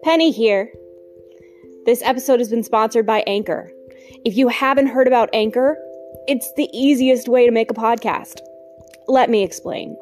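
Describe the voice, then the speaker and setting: A woman's voice: on the high side, normal speed, long pauses, a little mellow. A woman podcast host delivering a scripted sponsor read. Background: music.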